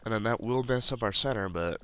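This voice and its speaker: A man's voice: lowish voice, a little fast, a bit bright, soft background music. A man with the flu, sounding congested, casually talking through a puzzle tutorial over a screen recording. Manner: casual, walks through steps while clicking. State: has the flu, congested and sniffling.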